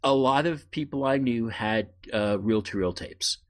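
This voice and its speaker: A man's voice: mid-range voice, a little slow. Middle-aged man telling stories casually. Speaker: podcast host. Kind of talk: casual conversational storytelling.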